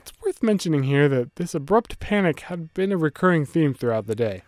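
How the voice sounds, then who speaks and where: A man's voice: a little high, very animated, fairly quick, a little mellow, no room sound. A man reading a scripted voice-over narration in a wry, mock-literary manner.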